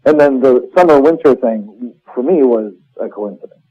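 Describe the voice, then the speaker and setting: Casting: male playwright interviewee, reflective and self-correcting, husky-edged voice over a narrow phone-like connection. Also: medium pitch, normal speed, mellow. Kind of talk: casual interview conversation. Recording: muffled phone-like line.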